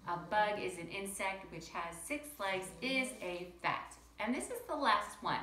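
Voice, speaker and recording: Female primary-style teacher for read-aloud lesson: crisp bright tone, addresses children directly, recorded in a reverberant room. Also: mid-range voice. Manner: chatty, questioning, addresses young listeners directly. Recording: roomy echo with faint background noise.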